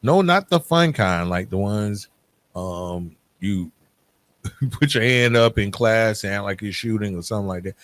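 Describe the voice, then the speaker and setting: A man's voice: fairly low pitch, animated, a little slow, a bit bright. A man hosting a solo podcast, talking candidly and informally in a half-scripted, conversational way, checking in with "right?" as he makes his points. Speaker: podcast host.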